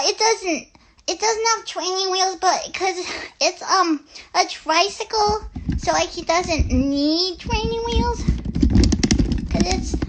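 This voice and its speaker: High-pitched little girl puppet. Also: background noise. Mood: eager, rambling. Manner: childlike, rambling, hesitant with ums and likes. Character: excitable, chatty little girl puppet showing her room. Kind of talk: improvised live children's puppet show.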